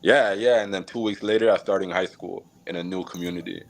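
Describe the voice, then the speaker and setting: A man from Jamaica, a podcast guest, telling his life story in a relaxed, reflective way with many fillers and small laughs. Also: low-pitched, fast, creaky. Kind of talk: casual storytelling interview.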